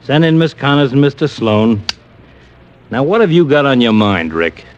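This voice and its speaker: Gruff, exasperated police lieutenant played by a man, mid-range, lively inflection, vintage radio crime drama with noisy sound. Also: medium pitch, moderate pace, lightly acted. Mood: businesslike. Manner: blunt, exasperated. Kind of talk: old-time radio detective drama. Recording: muffled, noisy old radio recording.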